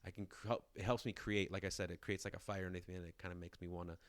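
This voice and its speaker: A man's voice: deep voice, little pitch movement, racing, no room sound. A man in his thirties co-hosting a casual podcast chat, rambling and restarting his sentences, often laughing. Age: 30s. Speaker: podcast co-host.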